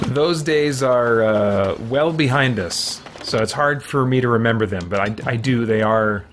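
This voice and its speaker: A man's voice: medium pitch, slow pace, pauses often, noisy background. A middle-aged man doing casual gameplay commentary, thinking aloud in a hesitant, musing way. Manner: hesitant, musing, mutters to himself. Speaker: game streamer.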